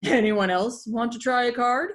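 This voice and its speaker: A woman's voice: on the high side, moderate pace, lightly acted. A woman voicing a hearty old male walrus captain who teaches in pirate phrasing, from a children's comic book. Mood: hearty, instructive.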